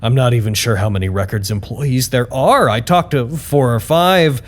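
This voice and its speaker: A male actor playing an amiable, nervously upbeat staffer who narrates his report in a wry, expressive, conversational way for an audio drama. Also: mid-range voice, moderate pace, lightly acted. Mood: exasperated. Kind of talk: scripted audio drama monologue, personal report.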